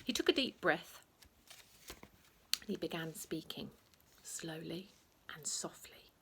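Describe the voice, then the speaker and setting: A woman narrating a children's bedtime story in an expressive, theatrical way, playing up the sound words. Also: low-pitched, slow pace, vocal fry, in a whisper, rough recording.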